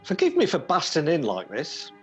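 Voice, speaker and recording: A woman's voice: deep voice, huge pitch swings, moderate pace, soft background music. A woman in a radio play voicing an earnest, apologetic male police detective sergeant. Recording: dull lo-fi streamed audio.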